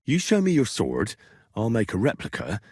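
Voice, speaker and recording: Casting: young man as an earthy, hot-headed medieval game hero; husky voice, very dry close sound. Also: on the low side, wildly swooping pitch, normal speed, darkish tone, no echo, full character voice. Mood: earnest, resolute. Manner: plain-spoken, earthy, blunt. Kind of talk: video game character voice lines.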